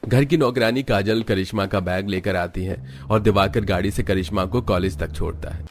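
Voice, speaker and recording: A man's voice: deep voice, moderate pace, a little hiss or hum. A man reading scripted storybook narration for a cartoon tale.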